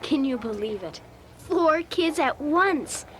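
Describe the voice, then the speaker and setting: A woman's voice: high-pitched, expressive, slow pace. An actress playing a dramatic, enthusiastic schoolgirl in a scripted audio drama. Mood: exasperated. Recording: slightly noisy cassette transfer.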